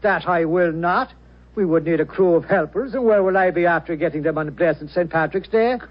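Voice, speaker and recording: An actor playing a cheerful, easygoing Irish village police sergeant in a lilting, jolly 1940s radio style. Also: male voice, high-pitched, normal speed. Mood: jolly, carefree. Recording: dull, band-limited vintage radio recording.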